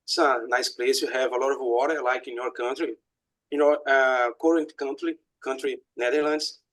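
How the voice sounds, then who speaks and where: Flat-voiced Brazilian man introducing himself. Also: mid-range voice, normal speed, rough recording. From Bahia, Brazil. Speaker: student. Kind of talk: self-introduction.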